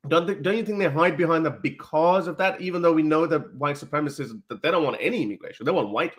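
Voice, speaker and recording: A man's voice: on the high side, fast, a bit bright. A man, hosting an interview and asking earnest, probing questions. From Pakistan. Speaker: host.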